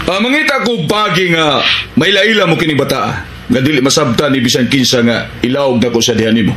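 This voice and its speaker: A man's voice: medium pitch, expressive, brisk, reverberant, music in the background, lightly acted. A man acting a hot-tempered, resentful criminal in a Filipino radio action drama, speaking Cebuano-accented lines with taunting indignation. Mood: sneering.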